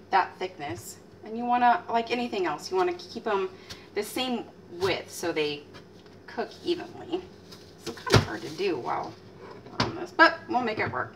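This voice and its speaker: A woman in her thirties, chatting casually as she demonstrates a recipe in her home kitchen, teasing herself and letting out lively exclamations. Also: mid-range voice. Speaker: home cooking channel host. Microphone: fixed camera mic at a distance. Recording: distant camera mic with faint room noise.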